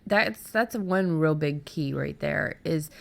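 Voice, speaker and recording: A woman's voice: deep voice, takes it slowly, vocal fry. A woman hosting a casual podcast conversation, explaining things informally and affirming what her guest says. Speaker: podcast host.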